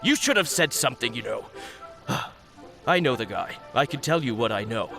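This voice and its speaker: A man's voice: medium pitch, animated, moderate pace, gravelly, over music. A man voicing a nervous, defensive adult fishmonger at a wharf in a video game, stammering as he explains himself. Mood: explaining.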